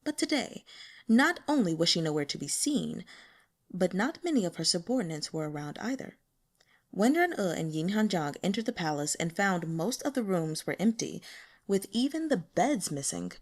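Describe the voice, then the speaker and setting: A woman's voice: lowish voice, very animated, normal speed. A woman reading fiction aloud as an audiobook narrator in a scripted storytelling style.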